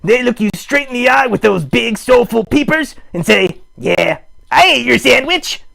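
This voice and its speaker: A man voicing an excitable, earnest puppet in a raspy voice, making emphatic speeches in a live improvised puppet show. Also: very high-pitched, moderate pace, faint music behind, heavily acted. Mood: excited, earnest. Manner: emphatic, exclamatory, mock-campaign speech. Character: excitable puppet character, earnest champion of dogs. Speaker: puppeteer host.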